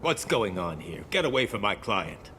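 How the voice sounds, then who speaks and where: A man's voice: on the low side, sing-song, normal speed, bright, forceful tone, slight background noise. A man playing a curt, officious, exasperated lawyer in a video game. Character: brusque, exasperated male lawyer.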